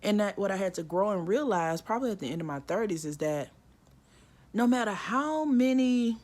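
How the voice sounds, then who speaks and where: A woman in her early forties talking casually and reflectively to camera, with many "like" and "um" fillers, acting out inner voices with sudden pitch jumps. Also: expressive, normal speed, darkish tone. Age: 40s. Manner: frequent "like" and "um" fillers. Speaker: vlogger.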